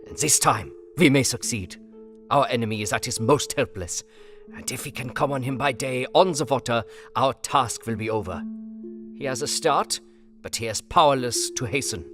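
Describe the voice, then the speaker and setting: A man's voice: medium pitch, lightly acted. Grave older vampire hunter, played by a man. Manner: formal, stately, old-fashioned phrasing. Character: older learned European male vampire hunter, grave and resolute. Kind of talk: dramatized audio book acting.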